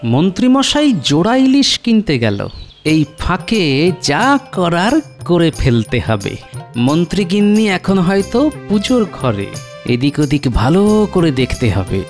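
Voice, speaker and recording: Folksy, quick-witted village trickster: animated cartoon voice, faint music behind. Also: male voice, a little high. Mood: sly, triumphant. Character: clever, curious village man, a sly trickster. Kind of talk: comic cartoon acting.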